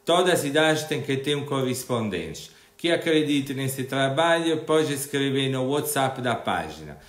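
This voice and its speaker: A man's voice: mid-range voice, big pitch swings, unhurried, echoey room. A man with an Italian accent hosting an online interview in Portuguese, talking casually and often hesitating and restarting. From Italy. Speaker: interviewer and channel host.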